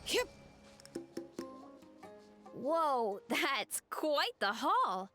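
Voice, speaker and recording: A woman's voice: high voice, very animated, very slow, fairly bright tone, faint music behind, exaggerated voice. A woman playing a friendly, easygoing young fruit farmer in a video game, with a youthful, sweet voice and a modest manner. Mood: grateful, modest.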